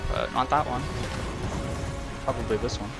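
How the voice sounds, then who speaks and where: A man's voice: mid-range voice, steady tone, normal speed. A young man giving casual, rambling commentary while playing a game, swearing and saying "dude" often. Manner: casual, swears, says "dude" a lot, talks to himself. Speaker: gaming video creator. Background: loud game music.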